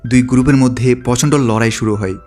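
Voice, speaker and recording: A man's voice: mid-range voice, fairly even intonation, fast talker, a little mellow. A man reading scripted narration that retells a film's story as a recap narrator. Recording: background music under the voice. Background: music.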